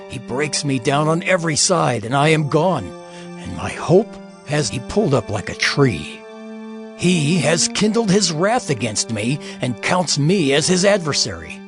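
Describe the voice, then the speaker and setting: An actor playing an afflicted, anguished man who laments and pleads against injustice in a dramatized scripture reading. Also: on the high side. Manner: theatrical, impassioned, lamenting. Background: music.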